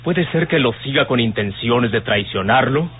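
A man's voice: mid-range voice, animated, moderate pace, lightly acted. An actor playing a doubtful, deliberating man in an old radionovela. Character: doubtful man mulling over a possible betrayal. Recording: tinny old radio recording, faint hiss.

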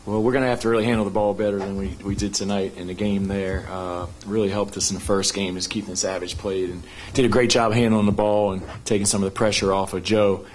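Fast-talking middle-aged male coach. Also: lowish voice, little pitch movement, slight background noise, low-quality recording. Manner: rapid, with frequent "you know" fillers and restarts. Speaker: basketball head coach. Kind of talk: post-game press conference answers. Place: press conference room at a sports arena. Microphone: table microphone on a stand.

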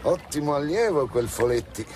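A man's voice: fairly high pitch, expressive, normal speed, darkish tone, lightly acted. An actor playing a genial, wry and shrewd male police commissioner in a radio drama. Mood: wry, pondering. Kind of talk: Italian radio drama acting.

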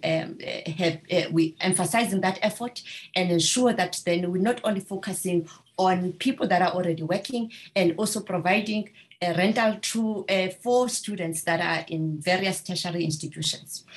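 A middle-aged woman with a South African accent, giving measured panel remarks on a video call, often pausing with fillers. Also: on the low side. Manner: measured, many filler pauses. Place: indoor room at home. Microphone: video call webcam.

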